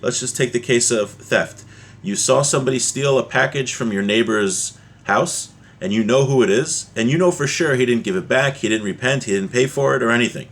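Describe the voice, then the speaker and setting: A man's voice: medium pitch, a little fast. A man giving an informal religious lesson as a teacher, explaining a text with everyday examples.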